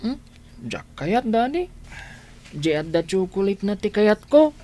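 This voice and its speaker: A woman's voice: mid-range voice, very animated, a little slow, mellow. A woman with an Ilocano accent playing a plaintive young child who confides in a parent, in a radio drama. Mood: whiny, hungry. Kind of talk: Filipino radio drama acting.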